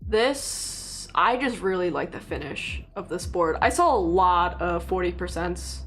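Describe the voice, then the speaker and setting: A young woman from China, a native Mandarin speaker, chatting casually with viewers on a livestream in a relaxed, rambling way full of fillers. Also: lowish voice, measured pace, slightly rough, soft background music. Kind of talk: casual live-stream chat with viewers.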